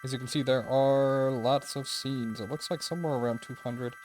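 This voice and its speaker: A man's voice: medium pitch, fairly even intonation, moderate pace, a little mellow, soft background music. A man hosting a game review video with casual, tentative, unscripted commentary, thinking aloud as he goes.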